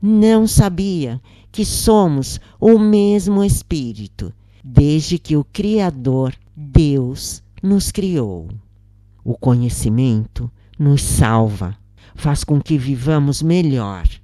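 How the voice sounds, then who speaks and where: A Brazilian woman reading a scripted first-person story in a measured way. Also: low-pitched, expressive, mellow, dry recording. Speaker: narrator reading a spiritist story.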